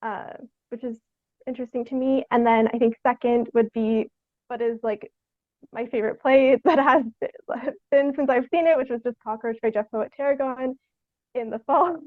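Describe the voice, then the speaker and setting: A woman's voice: a little high, fairly even intonation, moderate pace, dry recording, phone-quality sound. A young woman chatting casually as an interview guest, tentative and meandering, with "like" sprinkled all through her sentences. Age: young adult. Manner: tentative, meandering, heavy use of "like". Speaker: podcast guest, theatre artist.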